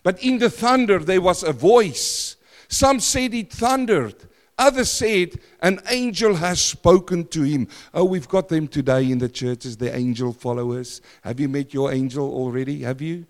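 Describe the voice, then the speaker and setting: Loud, emphatic preacher in a reverberant hall. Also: male voice, a little high, measured pace. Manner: loud, emphatic, swings from shouting to hushed. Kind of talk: sermon. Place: church.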